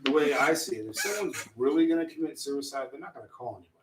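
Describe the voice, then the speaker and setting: A man's voice: mid-range voice, racing, roomy sound, noisy background. A man co-hosting a casual radio show, rambling and hesitant as he asks questions. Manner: rambling, hesitant, laughs along. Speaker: radio co-host. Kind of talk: casual chat, interviewing a guest.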